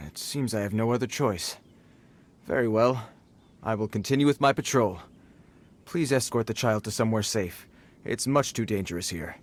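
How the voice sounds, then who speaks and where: A man playing a stiff, low-voiced patrol guard. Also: moderate pace, a little hiss or hum, natural voice. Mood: awkward. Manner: formal, stiff, awkward with children. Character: adult male patrol guard, stiff and dutiful.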